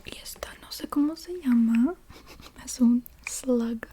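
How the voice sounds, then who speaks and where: A woman doing soft-spoken ASMR, casually rambling in a breathy whisper with a hesitant, playful manner and softly repeated words. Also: a little high, takes it slowly, slight background noise. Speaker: ASMR creator.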